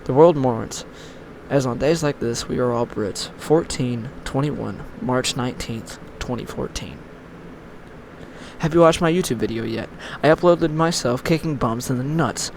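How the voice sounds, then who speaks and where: A man's voice: mid-range voice, a little slow, darkish tone, a little hiss or hum, poor audio. A man reading a scripted online horror story as its narrator.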